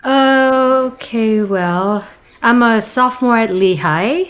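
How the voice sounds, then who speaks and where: A woman's voice: on the high side, takes it slowly. An actress playing a middle-aged single mother in a comedic audio drama, polite and awkward, speaking hesitantly and tactfully. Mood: awkward, apologetic.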